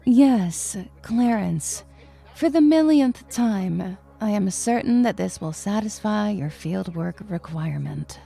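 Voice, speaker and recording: A woman's voice: medium pitch, lively intonation, slow, vocal fry, dark tone, husky, faint music behind, heavily acted. A woman playing a stern, weary science professor, sarcastic and pedantic, in a comedy audio drama.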